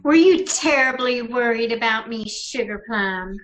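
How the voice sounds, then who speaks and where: A woman's voice: on the high side, measured pace, fairly bright tone, slight background noise, low-quality recording. A woman playing a scheming, murderous bride, coy and sugary, in an old-time radio mystery. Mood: sugary, menacing.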